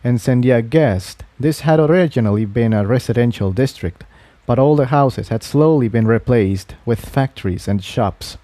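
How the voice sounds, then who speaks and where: A man's voice: medium pitch, normal speed, dark tone, no room sound. A man reading scripted fantasy fiction as an audiobook narrator.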